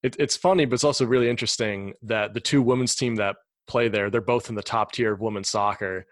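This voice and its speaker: A man's voice: lowish voice, fairly even intonation, quick pace, no room sound. A young man from the United States, hosting a podcast and chatting with his guest in a friendly, enthusiastic way. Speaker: podcast host. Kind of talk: casual interview chat.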